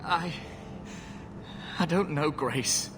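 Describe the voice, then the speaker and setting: A man playing an anxious, guilt-ridden young mage in a fantasy game, hesitant and pleading. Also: very slow. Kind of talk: fantasy video game acting. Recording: clear background rumble and noise.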